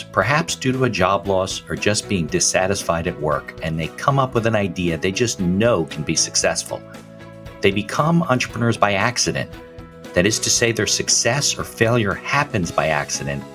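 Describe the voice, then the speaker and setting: A man hosting a business podcast, reading a scripted opening and then chatting with a guest in a relaxed, conversational way. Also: low voice. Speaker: podcast host, attorney. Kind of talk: scripted intro, then casual interview chat.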